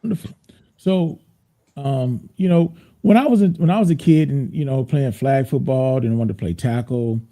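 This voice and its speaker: A laid-back man hosts an interview, chatting loosely and circling back on his words. His voice is deeply dark and mellow, with a middle pitch. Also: normal speed. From United States. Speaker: podcast host. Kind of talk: casual interview chat.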